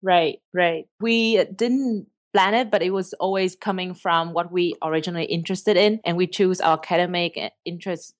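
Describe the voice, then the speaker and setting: Dry, low-voiced woman with a Vietnamese accent. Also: steady tone, normal speed, hardly any pauses, no room sound. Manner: earnest, explains at length with rephrasings. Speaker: startup cofounder guest. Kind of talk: interview answers.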